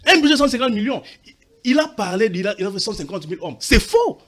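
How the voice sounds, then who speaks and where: A man's voice: sits high, animated, fast talker, fairly bright tone. A man from Katanga arguing insistently in a heated TV debate, talking over the others and mixing French with Lingala. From Katanga, DR Congo. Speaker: debate panelist.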